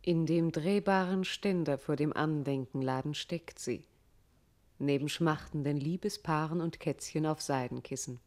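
Scene-setting narrator, deep for a woman, sober, measured, radio drama. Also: darkish tone. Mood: descriptive. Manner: measured, descriptive. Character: sober female narrator, describing scenes in detail. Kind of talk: German radio play narration.

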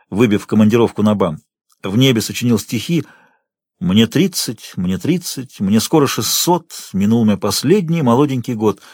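A man's voice: mid-range voice, darkish tone, slightly rough, dry recording, studio quality. A man reading a first-person literary story as an audiobook narrator, expressively shifting tone as he goes.

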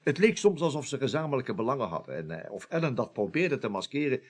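A cornered security man in a radio crime drama. His voice sits at a middling pitch. He stammers and dodges, always on the defensive. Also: sing-song, fast, husky. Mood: hesitant, evasive. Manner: flustered, stammering, evasive.

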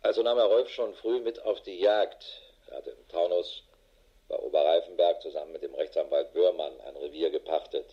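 An actor playing a harried, middle-aged police investigator in a radio drama, matter-of-fact and dry. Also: male voice, low-pitched, normal speed, husky, highs cut off. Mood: sober, dictating. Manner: matter-of-fact, dry, clipped official phrasing. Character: harried, world-weary male police investigator with a wife. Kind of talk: German radio drama acting, dictated report.

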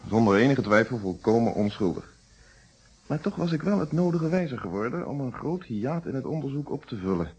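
An actor playing a steady, reflective police inspector recounting his case in a Dutch radio drama, with a Netherlands accent and measured, polite delivery. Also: medium pitch, husky, exaggerated voice. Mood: reflective, explanatory. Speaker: actor in a detective radio play.

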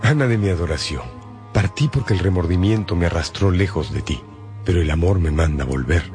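A man's voice: sits low, expressive, a little fast, a little mellow, faint music behind. An actor playing a cowardly, self-serving male seducer in a radio drama. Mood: wooing, sly. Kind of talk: Spanish-language radio drama acting.

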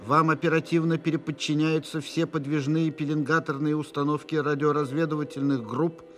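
An actor narrating urgent military dispatches in a radio play, formal, clipped and businesslike. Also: male voice, a little high, flat delivery, brisk, a bit bright, natural voice. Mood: urgent, official. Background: music.

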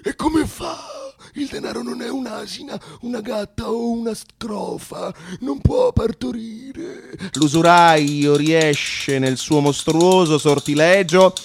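Man acting a thundering, high-pitched indignant preacher. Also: expressive, slow pace, creaky, soft background music, heavily acted. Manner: declamatory, shouting. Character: thundering, indignant medieval preacher damning usurers and dreamers. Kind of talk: theatrical oratory.

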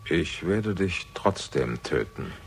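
An actor playing a grim, resolute avenger in a German radio crime drama, speaking tersely and deliberately with gravelly menace. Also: male voice, deep voice, expressive, slight background noise, exaggerated voice. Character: grim, resolute male avenger holding a rival at gunpoint.

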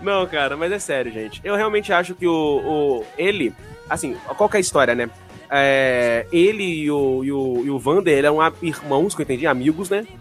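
Excitable man with a slight lisp. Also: a little high, fairly quick, faint music behind. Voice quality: mild speech impediment. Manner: swearing, laughing, emphatic. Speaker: podcast co-host. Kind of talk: casual group chat.

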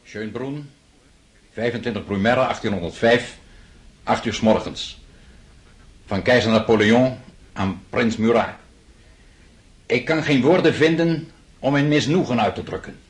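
An actor playing an imperious, displeased emperor dictating a curt, commanding letter in a radio drama. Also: male voice, medium pitch, unhurried, pauses often, natural voice. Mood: stern displeasure.

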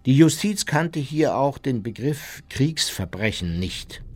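Self-righteous unrepentant old war criminal. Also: male voice, mid-range voice, expressive, slow, darkish tone. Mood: self-justifying. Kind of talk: radio play monologue.

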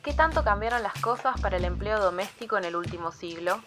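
A woman's voice: medium pitch, animated, fast talker. Documentary narrator: Argentine woman, mid-range voice, scripted read over music.

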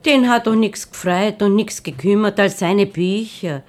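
A woman's voice: mid-range voice, a little slow, a little mellow, a little hiss or hum, lightly acted. A woman playing a loyal café woman. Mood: earnest. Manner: earnest, sorrowful, insistent. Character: kindly older Viennese café woman, loyal, fretful. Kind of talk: classic radio drama acting.

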